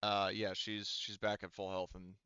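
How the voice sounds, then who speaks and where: A man's voice: on the low side, flat delivery, moderate pace, bright, forceful tone, clear, resonant tone, no room sound, dull audio. A man speaking informally as game master, explaining the situation in a tabletop roleplaying game. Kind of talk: casual tabletop game narration.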